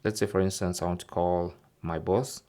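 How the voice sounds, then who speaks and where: A man's voice: low voice, steady tone, normal speed, mellow. A man presenting an instructional tech tip, explaining step by step and often checking in with the listener. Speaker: tech tutorial host.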